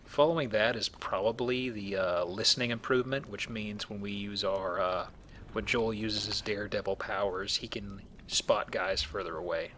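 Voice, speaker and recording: A man's voice: lowish voice, normal speed, fairly bright tone, a little hiss or hum. A man hosting a let's play with casual, explanatory game commentary and joking asides.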